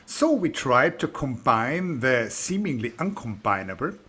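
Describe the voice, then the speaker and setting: Slow Austrian-accented man, bright tone. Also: mid-range voice, slight background noise. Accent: Austrian German. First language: German. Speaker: author and biologist. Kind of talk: promotional book introduction.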